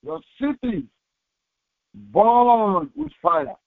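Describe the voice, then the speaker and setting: A thin, phone-like recording with very little room sound carries a man's high-set voice. He is a pastor delivering a devotional and reading scripture solemnly. His phrases are short and deliberate, with a halting delivery. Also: animated, no echo. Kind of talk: devotional sermon with slow scripture reading aloud. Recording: tinny, phone-like narrowband audio.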